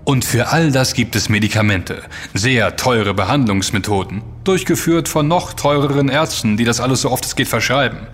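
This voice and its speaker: An actor playing a self-assured, intense investigator in a German audio drama, speaking emphatically and accusingly, with dramatic delivery. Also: male voice, medium pitch, fairly bright tone, breathy edge. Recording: long reverb with music underneath.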